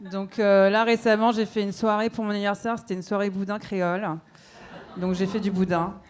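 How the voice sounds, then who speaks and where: A woman's voice: mid-range voice, flat delivery, fairly quick, darkish tone. A woman in her thirties from Belgium, chatting casually on a dating show, laughing often and using lots of fillers. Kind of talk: casual chat.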